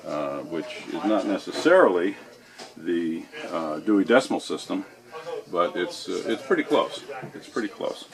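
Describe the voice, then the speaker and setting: A man giving an informal guided tour as a library guide, explaining things methodically in a halting way. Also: low voice.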